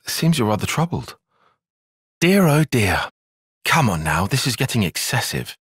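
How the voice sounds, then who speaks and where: A young tactician for a video game, dryly critical and analytical. His voice has a slightly husky edge and a lively, shifting pitch. The diction is precise and formal, and the sound is dry and close. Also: moderate pace, no room sound, exaggerated voice. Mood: wry, critical. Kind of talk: video game cutscene voice acting.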